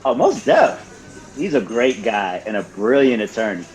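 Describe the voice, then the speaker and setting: A man's voice: medium pitch, big pitch swings, measured pace, faint music behind. A man acting in an audio drama as a friendly paralegal on a coffee date, easygoing and conversational. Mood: friendly, interested.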